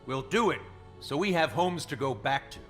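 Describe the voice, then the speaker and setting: A man's voice: on the high side, animated, moderate pace, fairly bright tone, faint music behind. An actor playing a resolute squadron commander in a video game, briefing his pilots in a firm, grave, rousing manner. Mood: determined.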